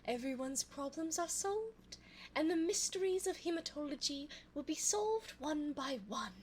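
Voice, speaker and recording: A woman playing an elegant, cunning vampire in an ASMR audio roleplay, speaking formally and coaxingly in a soft voice close to the microphone. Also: high voice, lively intonation, slight background noise. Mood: pleased, scheming. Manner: formal, genteel, slow, coaxing. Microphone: close binaural microphone.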